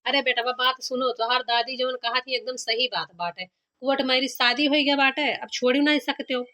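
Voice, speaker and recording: Woman playing a bright-voiced Awadhi village mother. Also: sits high, moderate pace. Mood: coaxing. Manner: coaxing, questioning. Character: groom's mother, coaxing, practical village woman. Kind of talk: cartoon comedy acting.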